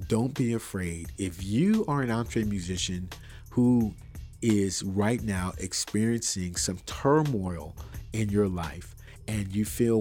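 A man hosting a faith-tinged motivational podcast, earnest and preachy, repeating phrases for emphasis, recorded in an untreated room. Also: darkish tone. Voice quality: bassy voice. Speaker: podcast host. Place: untreated room with wires, not acoustically treated.

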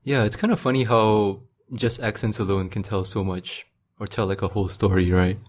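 A man's voice: lowish voice, fairly even intonation, moderate pace, slight background noise. A man hosting a casual podcast chat, feeling his way through sentences with repeated words and easy laughter. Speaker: podcast host.

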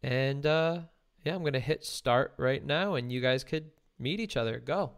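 A man's voice: medium pitch, normal speed, smooth. A young man coaching a timed conversation exercise, giving instructions and feedback. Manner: instructive, gives directions and feedback. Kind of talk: guiding a timed practice chat.

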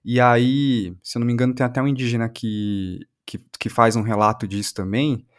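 A man's voice: mid-range voice, flat delivery, brisk. A Brazilian man interviewing on a podcast, musing analytically in a reflective conversation.

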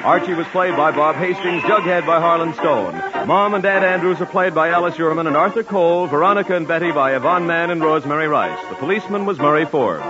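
An American man announcing in scripted 1940s radio style, with a polished, salesmanlike delivery. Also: high-pitched. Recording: muffled 1940s radio broadcast with music.

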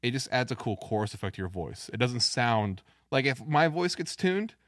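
A man with a deep voice, a podcast guest explaining guitar gear and technique in a knowledgeable, technical way. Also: very animated, moderate pace, no echo. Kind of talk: casual chat about music gear. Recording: dry, music playing behind.

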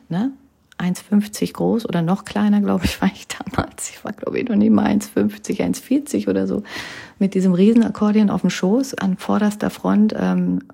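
Woman in her thirties, casual storytelling, gravelly, warm dark tone, often whispers asides. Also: mid-range voice. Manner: chatty, keeps adding "ne?", drops to whispers. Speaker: vlogger.

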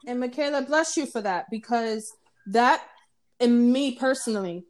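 A woman's voice: on the high side, moderate pace. Earnest, supportive woman. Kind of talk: casual group discussion.

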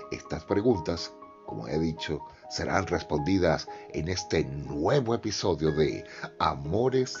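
Deep-voiced, animated male radio presenter. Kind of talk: scripted programme introduction. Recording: dull lo-fi sound, prominent music.

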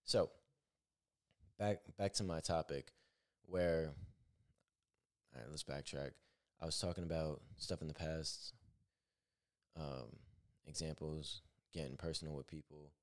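Very deep-voiced man musing candidly. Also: steady tone, unhurried, long pauses. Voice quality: naturally deep voice. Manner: rambling, self-correcting, candid. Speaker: podcast host. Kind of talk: solo reflective podcast monologue.

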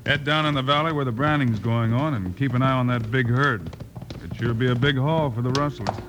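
An American man playing a steady, heroic, plain-spoken Western lawman in an old-time radio drama. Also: mid-range voice, brisk, natural voice. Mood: deliberate. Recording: noisy, clicky old radio recording.

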